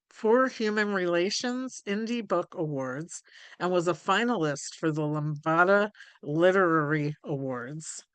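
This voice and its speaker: A woman hosting a live online conversation over a video call, talking in a halting way with many ums and restarts. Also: fairly low pitch, a bit bright, no room sound. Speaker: host.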